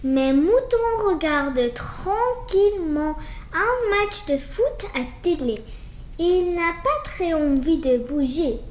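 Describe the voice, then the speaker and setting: A woman reading a children's story aloud in an animated way, slowly and with small stumbles. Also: extremely high pitch. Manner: slow, halting reading with stumbles. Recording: roomy echo with faint background noise.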